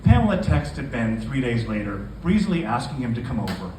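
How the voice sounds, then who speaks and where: A man's voice: medium pitch, sing-song, brisk, dark tone. A man, a novelist, speaking to introduce and read his fiction at a live indoor literary event. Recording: echoey room from a distance, noisy.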